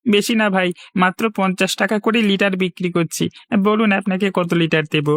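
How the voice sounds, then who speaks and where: A man's voice: high-pitched, steady tone, fairly quick, a bit bright, no room sound, studio quality. A man voicing an earnest, honest village sap seller in a cartoon, polite and persuasive. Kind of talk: cartoon voice acting.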